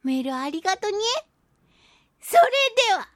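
A woman hosting a solo radio talk show, chatting and reading out listener mail with animated, playful reactions. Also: high-pitched, normal speed, no echo, clean studio sound. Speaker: radio show host, voice actress.

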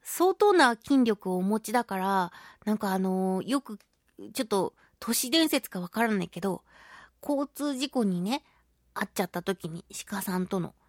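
A woman hosting a solo radio talk show, chatting about daily life in an animated, giggly, exclamatory way. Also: mid-range voice, moderate pace, no echo, clean studio sound. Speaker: voice actress.

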